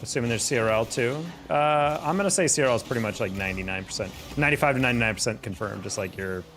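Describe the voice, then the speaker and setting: A man's voice: mid-range voice, racing, fairly bright tone. A man chatting casually with his viewers while playing a game on a live stream, exasperated and laughing often. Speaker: streamer and caster.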